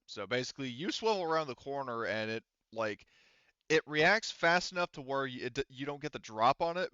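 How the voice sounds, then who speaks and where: A man's voice: medium pitch, bright, forceful tone, smooth, dry recording, dull audio. A man running a tabletop roleplaying game as game master, speaking casually and swearing freely. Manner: casual, profane.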